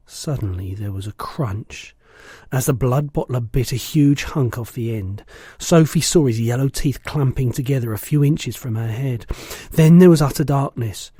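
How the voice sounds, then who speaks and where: A man reading a children's storybook aloud in an expressive narrating style. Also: medium pitch, moderate pace, breathy edge, dry recording, clean studio sound. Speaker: storybook reader.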